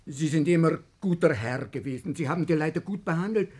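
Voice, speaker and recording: Agitated, husky, high-voiced villager. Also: male voice, brisk, darkish tone. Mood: urgent. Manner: urgent, clipped broken German. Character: agitated, loyal Polish villager warning his master. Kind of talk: 1950s German radio drama acting.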